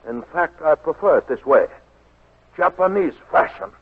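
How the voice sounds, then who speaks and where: An actor playing a menacing, coldly gloating Japanese military villain with formal, deliberate 1940s radio delivery. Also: male voice, fairly high pitch, animated, breathy edge. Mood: cold menace. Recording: faintly noisy, phone-like 1940s radio recording.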